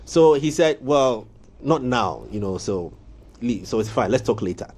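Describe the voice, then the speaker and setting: A man hosts a morning show from a TV studio, telling a story with energy and laughter. His voice sits at a middle pitch. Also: lively intonation, normal speed, darkish tone. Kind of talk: casual studio interview.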